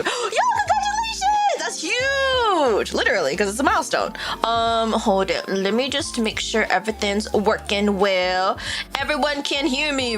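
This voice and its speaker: A woman's voice: a little high, fairly bright tone. A woman VTuber chatting casually on a livestream, bubbly, laughing often and swearing casually. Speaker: VTuber streamer.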